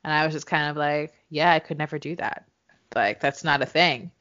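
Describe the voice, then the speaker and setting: A woman's voice: deep voice, fairly quick, a bit bright, dry recording, lo-fi sound. A woman, co-hosting a casual, candid chat and laughing often. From Jamaica. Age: 30s. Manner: candid, laughing, frequent swearing. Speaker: podcast co-host.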